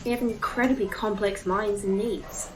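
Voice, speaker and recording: A woman's voice: mid-range voice, fairly even intonation, moderate pace, faint music behind, natural voice, rough recording. Woman acting a proud, formal A.I. guide. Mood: instructive. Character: polite, proud female A.I. tour guide in lifelike human form. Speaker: actor in a sci-fi horror fiction podcast. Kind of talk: audio drama acting.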